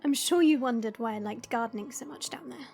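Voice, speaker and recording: A woman playing a gentle dragon princess in an audio roleplay, speaking in a measured, formal and tender way. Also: a little high, vocal fry, soft background music. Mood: fond, proud. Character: gentle dragon princess, proud gardener, softly regretful.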